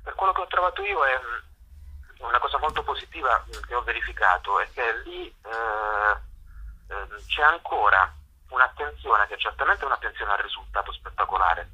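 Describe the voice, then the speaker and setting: A man answering as a guest in a radio interview, hesitant and halting as he searches for words. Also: mid-range voice, sharp tone, slightly rough. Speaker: interview guest, theatre artist and workshop teacher. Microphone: telephone. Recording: dull telephone line on radio.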